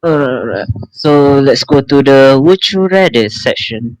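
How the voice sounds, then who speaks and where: A man hosts a casual podcast in a clear, smooth voice of medium pitch. The recording is dry and close, and he speaks conversationally. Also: lively intonation, slow pace, no echo, faint music behind, poor audio. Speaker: podcast host. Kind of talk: casual chat.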